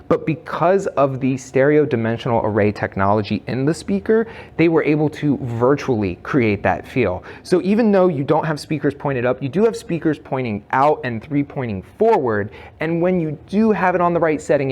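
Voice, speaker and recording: A man's voice: medium pitch, a little mellow. A man presenting a product review in a conversational, semi-scripted way, with an enthusiastic, emphatic delivery.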